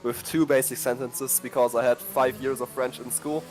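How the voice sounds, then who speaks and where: A man's voice: mid-range voice, fairly even intonation, darkish tone, faint music behind. A man from northern Bavaria with a German accent, commentating casually on a sim race with hesitant fillers. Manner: hesitant fillers, quick to laugh.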